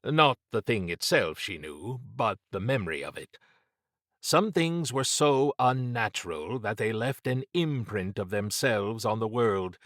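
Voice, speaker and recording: A man narrating dramatic fiction in a measured, suspenseful and deliberate way, close to the microphone. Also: medium pitch, a bit bright, no echo. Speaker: audiobook narrator.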